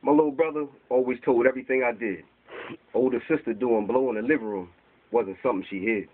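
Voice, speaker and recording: Man, 40s, open mic poet, rhythmic rhyming recital, husky voice, tinny lo-fi sound, small bookstore room. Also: medium pitch, fast talker. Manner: reads from papers, rhythmic rhyming delivery, gestures while reciting. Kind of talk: spoken word poetry reading. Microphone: far camera. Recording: tinny lo-fi camera audio.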